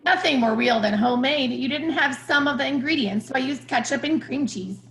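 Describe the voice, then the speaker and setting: A sweet but pushy motherly woman who loves baking, acted by a woman at a table read. The voice is pitched high. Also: a little fast, fairly bright tone, reverberant, poor audio. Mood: insistent.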